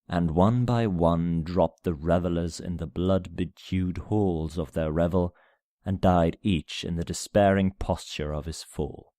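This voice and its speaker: A man narrating a gothic tale as a dramatic reading, in a solemn, measured manner with deliberate phrasing. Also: sits low, dark tone, dry recording, studio quality. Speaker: amateur audiobook narrator.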